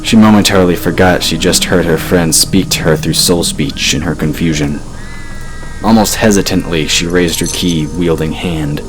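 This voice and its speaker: A man's voice: low-pitched, fairly even intonation, normal speed, music in the background, rough recording. A man reading scripted fantasy narration in a dramatic storytelling style, as an audiobook narrator. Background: ambient sound effects.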